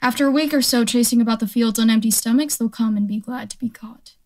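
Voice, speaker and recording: A woman's voice: a little high, fast talker, a little mellow, natural voice. A woman playing a defiant, survival-minded militia stranger in a radio play, talking in a blunt, folksy, speechifying way. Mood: fierce, scornful. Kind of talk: radio drama acting.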